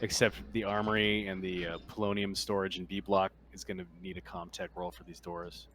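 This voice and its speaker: A man acting as game master, narrating a tabletop roleplaying game in a casual, halting way. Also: deep voice, level tone, music in the background.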